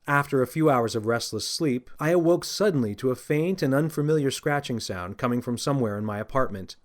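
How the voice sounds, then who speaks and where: A man with a mid-pitched voice reads a children's audiobook. He tells the scripted first-person tale expressively, with a storyteller's sense of drama. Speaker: author narrating own audiobook.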